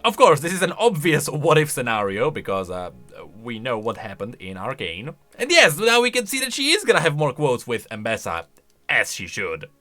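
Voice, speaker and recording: A man's voice: medium pitch, huge pitch swings, normal speed, sharp tone, soft background music. A man giving casual, chatty commentary on video game lore, thinking aloud with emphatic delivery.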